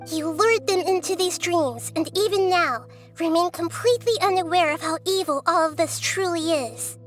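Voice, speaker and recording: A child-like goddess from a fantasy game, with a very high, girlish voice. She speaks with gentle wisdom and measured earnestness, over faint music. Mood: earnest. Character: young girl deity, gentle, wise and earnest. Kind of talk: English game dub, story dialogue.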